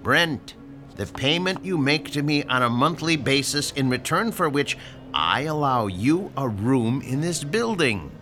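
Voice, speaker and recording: A man's voice: mid-range voice, lively intonation, a little slow, faint music behind. An actor playing a pushy, sarcastic older landlord in a radio drama, lecturing his tenant about rent. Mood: needling. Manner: sarcastic, lecturing, theatrical swings from shouting to hushed.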